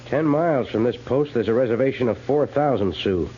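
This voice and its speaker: An actor playing an unbending, authoritative army major in a 1950s radio western, measured, firm and official. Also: male voice, mid-range voice, dark tone, lightly acted. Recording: muffled, hissy 1950s radio recording.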